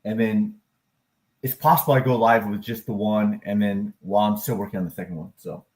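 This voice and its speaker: A man's voice: fairly low pitch, fairly even intonation, brisk. Upbeat, rambling male live stream host. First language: English. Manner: upbeat, rambling, with frequent fillers and self-corrections; occasional loud whooping cheers. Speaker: live stream host, crypto and stock chart analyst. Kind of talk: casual live stream chat.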